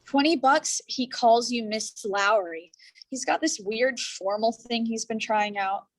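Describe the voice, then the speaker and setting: A woman's voice: a little high, measured pace, rough recording. A woman playing a blunt, sarcastic, impatient friend in a staged play reading, dismissive in manner. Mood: sardonic.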